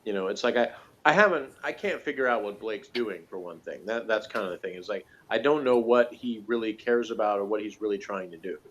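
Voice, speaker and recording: A man's voice: on the low side, fairly quick, few pauses, fairly bright tone. Casual, rambling man on a dull recording. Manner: rambling, hedging, conversational, with frequent "you know" and "like". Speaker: guest reviewer of a TV series. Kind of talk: casual review chat.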